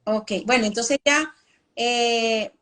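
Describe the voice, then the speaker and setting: A woman's voice: fairly high pitch, takes it slowly, fairly bright tone. A woman hosting a live interview, asking long, winding questions with many fillers. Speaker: host.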